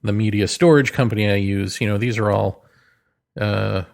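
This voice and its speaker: A man's voice: fairly low pitch, fairly even intonation, moderate pace, vocal fry. A man hosting a solo podcast in a casual, rambling way, hesitant as he thinks aloud, with a wry, self-deprecating manner. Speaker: podcast host and author.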